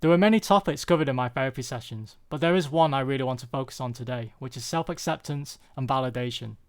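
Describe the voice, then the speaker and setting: A young British man giving a prepared personal talk, earnest and confessional, with deliberate phrasing. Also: medium pitch, clear, resonant tone. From UK, born there.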